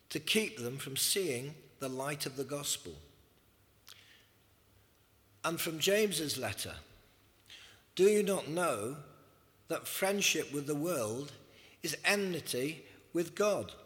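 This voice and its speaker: Slow, hoarse preacher in a reverberant church. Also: male voice, fairly high pitch, a bit bright. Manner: slow, measured preaching with scripture readings. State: slightly hoarse. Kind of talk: sermon. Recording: long hall reverb.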